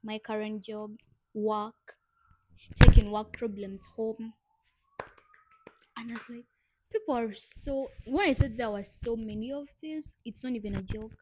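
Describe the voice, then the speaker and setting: A woman's voice: on the high side, fairly even intonation, a little slow, pauses often, noisy background. A young woman co-hosting a casual podcast chat, reading prompts aloud and laughing often. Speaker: podcast co-host.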